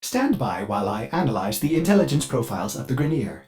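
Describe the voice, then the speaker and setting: An actor playing a fussy, eager-to-please artificial mind of a spaceship in a video game, with an overly polite, theatrical and halting delivery. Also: male voice, medium pitch, big pitch swings, a little mellow, natural voice. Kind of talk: video game character dialogue.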